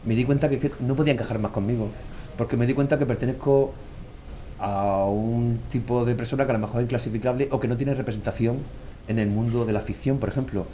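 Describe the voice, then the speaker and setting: A man's voice: medium pitch, fast, a little hiss or hum. A man with a Murcian Spanish accent, an author guest telling stories in casual conversation and acting out the voices he quotes. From Murcia, Spain. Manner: animated storyteller, acts out quoted voices.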